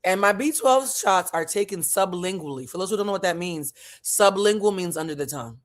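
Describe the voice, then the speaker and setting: A vlog host in their forties with a husky voice, chatting casually and impromptu, talking frankly and swearing freely. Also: medium pitch, lively intonation, brisk.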